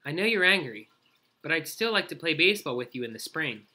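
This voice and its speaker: Storybook groundhog, male, played by a man reader: nervous people-pleaser, earnest, apologetic, sharp bright tone, lively pitch. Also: fairly quick. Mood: hopeful. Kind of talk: children's storybook character voice.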